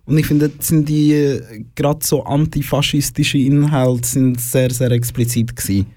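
Young man with a dark, mellow voice. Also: medium pitch, steady tone, measured pace, no echo. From former Eastern Bloc. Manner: hesitant, many fillers, laughs often. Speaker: guest. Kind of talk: casual studio conversation. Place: studio.

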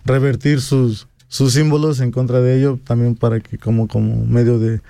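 Dark-toned Mexican man, close and dry. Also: medium pitch, flat delivery, normal speed, gravelly, no echo. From Pachuca, Mexico. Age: 40s. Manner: often ends phrases with a tag question. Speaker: guest rapper. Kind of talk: casual interview talk.

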